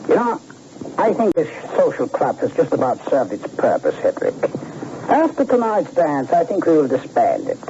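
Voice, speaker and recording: A man's voice: a little high, wildly swooping pitch, normal speed, warm tone. An actor playing a smug, scheming male crook in a 1940s radio drama, talking down to his partner in a gloating, condescending way. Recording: hissy dull old radio broadcast.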